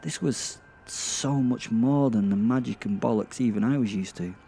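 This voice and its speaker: A man playing a sardonic, awkward werewolf who narrates in a halting, self-mocking way with theatrical emphasis, in a horror-comedy audio drama. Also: mid-range voice, dark tone. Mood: awed. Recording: clear background ambient noise.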